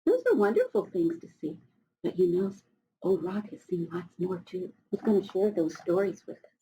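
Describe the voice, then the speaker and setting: A middle-aged woman reads to schoolchildren in an animated, swooping voice. She often steps out of the text to chat directly with her listeners, encouraging them and marvelling in an expressive way. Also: on the low side, normal speed, a little hiss or hum, low-quality recording. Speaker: storybook reader for schoolchildren. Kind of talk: children's read-aloud with chatty asides.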